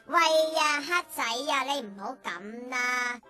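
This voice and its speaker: High, childlike supportive little friend. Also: lively intonation, unhurried, bright, forceful tone, soft background music, heavily acted. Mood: coaxing. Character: Andox, kindly encouraging child friend. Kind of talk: children's radio drama acting.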